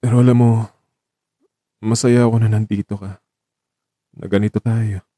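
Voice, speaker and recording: A man playing an ailing, tender and teasing man in an audio drama, speaking softly and haltingly with sentiment. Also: on the low side, flat delivery, dry recording, clean studio sound, lightly acted. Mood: gently wistful. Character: ailing young man, tender, teasing, grateful to his companion. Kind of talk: Tagalog audio drama acting.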